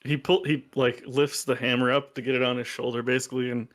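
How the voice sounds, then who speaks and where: A man's voice: mid-range voice, little pitch movement, fast talker. A man playing a tabletop game, talking casually as a player at the table.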